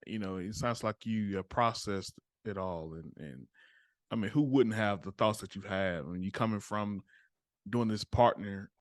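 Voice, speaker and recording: Man, podcast host, low voice, hesitant, filler-heavy, dry close sound. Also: measured pace, no room sound. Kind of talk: interview questions.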